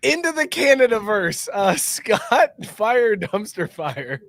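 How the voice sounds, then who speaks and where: A man's voice: high-pitched, very animated, slow pace, creaky, a bit bright. A man hosting a casual sports banter podcast, talking with enthusiasm and plenty of slang and swearing. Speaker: podcast host.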